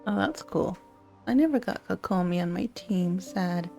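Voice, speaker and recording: A woman's voice: fairly low pitch, lively intonation, normal speed, gravelly, mellow. A woman casually commenting on a video game as she plays and reads lines aloud. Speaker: gaming video host. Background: game music.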